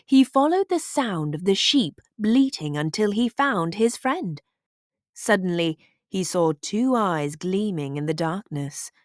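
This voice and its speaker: Female read-aloud narrator for kids' storybook, animated intonation, deliberate slow pacing. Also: medium pitch, no echo, clean studio sound.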